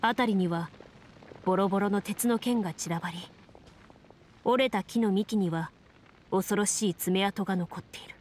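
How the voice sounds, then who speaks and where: A woman playing a composed game master who narrates a fantasy adventure in measured, solemn storytelling. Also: medium pitch, darkish tone, slight background noise, close to an everyday voice. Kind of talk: scripted narration.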